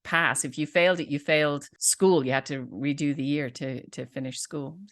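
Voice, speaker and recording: Woman, Dublin Irish accent, low pitch, interview guest, matter-of-fact. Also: fairly even intonation, moderate pace. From Ireland. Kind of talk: casual interview chat.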